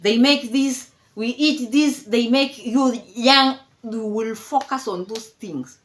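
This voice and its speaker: A woman's voice: on the high side, takes it slowly. A woman in her fifties from Kenya giving casual, mocking commentary, laughing often and repeating herself. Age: 50s. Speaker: commentary vlogger.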